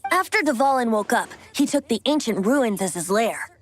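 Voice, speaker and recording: A woman's voice: on the high side, animated, normal speed. A woman voicing a playful, theatrical young male bard in a video game, speaking eloquently like a storyteller. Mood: explaining, earnest. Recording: music under the voice.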